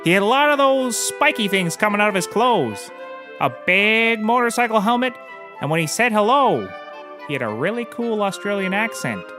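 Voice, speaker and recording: A man's voice: high voice, sing-song, normal speed, music in the background. An actor playing a shameless, boozy private investigator in a scripted comedy, rambling and oblivious as he gives courtroom testimony. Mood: eager, rambling. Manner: rambling, casually confessing, oblivious.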